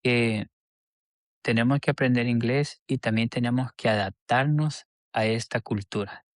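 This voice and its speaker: A man speaks as a podcast guest at a middle pitch. He talks earnestly but hesitantly, often restarting his sentences. The sound is dry and close-miked. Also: measured pace, no room sound. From El Salvador. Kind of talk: casual interview chat.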